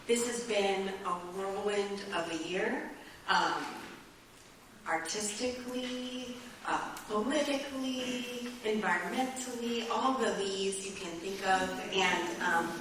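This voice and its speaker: A woman hosting as artistic director, giving an informal, earnest and somewhat halting welcome speech to a live concert audience. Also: medium pitch. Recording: very echoey hall, distant mic, faint noise.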